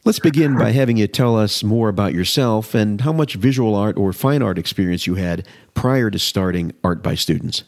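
A man's voice: on the low side, moderate pace, hardly any pauses, darkish tone. A man co-hosting a podcast, introducing the guest and asking questions in a friendly, partly scripted manner. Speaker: podcast co-host. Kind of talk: interviewer.

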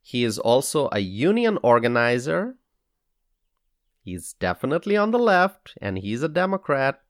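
A man with a Bangladeshi accent hosting a solo political podcast, speaking in a deliberate, emphatic way and repeating phrases for weight. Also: mid-range voice, big pitch swings, no echo, clean studio sound.

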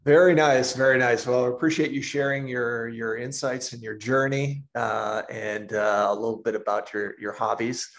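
A man's voice: medium pitch, level tone, normal speed, few pauses, a bit bright. Friendly male podcast host who laughs often. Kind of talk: casual interview conversation.

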